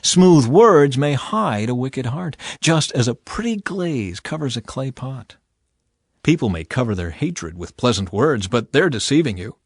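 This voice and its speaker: A man narrating scripture as a dramatized reading, with a theatrical, emphatic and deliberate delivery over background music. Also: medium pitch, sing-song, no room sound, studio quality. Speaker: Bible narrator.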